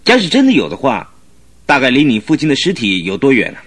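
A man in a Chinese radio drama playing a sceptical prosecutor with a husky voice and pointed, methodical questioning. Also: mid-range voice, wildly swooping pitch, fast talker, a bit bright, full character voice. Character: male prosecutor, probing, sceptical interrogator.

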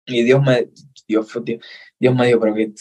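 A man's voice: mid-range voice, flat delivery, normal speed, a little mellow, slightly rough, rough recording. A man from Cuba with a Cuban accent, a podcast guest talking earnestly and repeating himself for emphasis. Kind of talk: casual interview.

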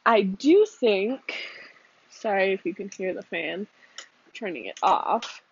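A woman's voice: medium pitch, expressive, slow, gravelly, breathy edge, dull audio. A young woman with a cold, chatting casually and rambling on her podcast from home, with lots of ums and likes. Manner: rambling, casual, laughs often, says um and like. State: sick with a cold and cough. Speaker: podcast host. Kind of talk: casual solo podcast chat. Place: home room with a fan.

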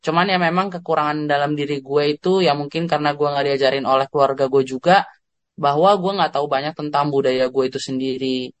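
Higher-voiced Indonesian man, casual chat. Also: brisk, no room sound. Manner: relaxed, slangy, with hesitant fillers. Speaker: guest. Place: living abroad, on a call.

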